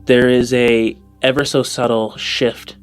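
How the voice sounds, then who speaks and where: A man's voice: medium pitch, little pitch movement, a little slow, smooth. A man improvising narration as game master of a tabletop role-playing game. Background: music.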